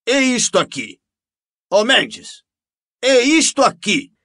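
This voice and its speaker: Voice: mid-range voice, sing-song, slow, fairly bright tone, no echo, studio quality, voice pushed up, exaggerated voice. A reader voicing a pompous, self-satisfied, gossipy male priest who exclaims and repeats himself unctuously in an audiobook story. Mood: gushing, excited.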